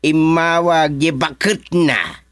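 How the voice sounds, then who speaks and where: A gossipy rural colleague in an Ilocano radio comedy. He talks slowly and haltingly in an Ilocano accent, peppered with interjections. The recording is dry. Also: a little high, fairly even intonation, a bit bright, no room sound. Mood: gossiping. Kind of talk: comedic radio drama acting.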